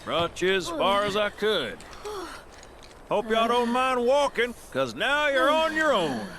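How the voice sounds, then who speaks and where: An actor playing a brash, folksy airship pilot with a Southern American accent and a cocky drawl. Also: male voice, very high voice, sing-song, slow pace, heavy background noise, heavily acted. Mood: laid-back. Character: brash foul-mouthed Southern airship pilot, easygoing man.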